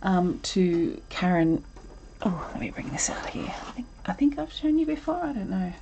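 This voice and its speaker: Lady artist rambling through a live draw-along, low mellow gravelly voice, faint hiss behind. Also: very animated, normal speed, lo-fi sound. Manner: chatty, rambling, self-interrupting with ums. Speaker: art instructor.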